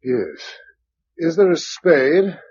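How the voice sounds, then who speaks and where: An actor playing a kindly, steady English survivor in a radio drama, speaking in a measured, understated way. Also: male voice, a little high, heavily acted. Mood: gentle, reassuring. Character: kindly, steady male survivor narrating his journey. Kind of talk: radio drama narration and dialogue. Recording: dull radio recording, clear background noise.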